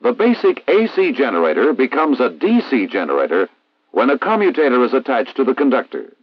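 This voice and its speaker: An American man reading a scripted instructional narration in a formal, measured, didactic way, as in a 1960s military training film. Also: mid-range voice, wildly swooping pitch, dry recording. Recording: dull vintage 1960s film soundtrack.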